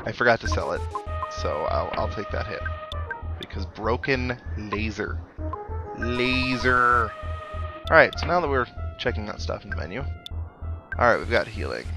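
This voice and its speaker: A man giving casual video game commentary as a let's player, with wry, self-deprecating asides. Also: on the low side.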